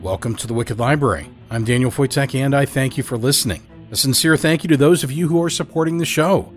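A man hosts a horror podcast, reading his lines in a measured, scripted way. His voice sits at an average pitch, with faint music under him. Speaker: podcast host.

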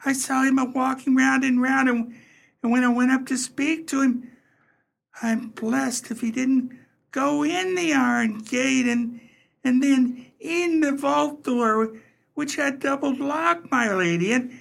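Woman acting a flustered, high-voiced, stammering beadle. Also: gravelly, exaggerated voice. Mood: frightened, insistent. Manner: stammering, halting, folksy, deferential. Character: frightened, flustered beadle who tends the family vault. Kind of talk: radio play acting.

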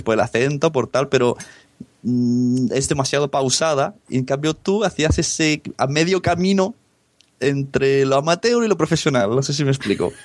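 A man's voice: mid-range voice, no room sound. A man hosting a podcast interview, musing aloud and rambling through his thoughts with small chuckles. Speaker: podcast host. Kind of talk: casual interview chat.

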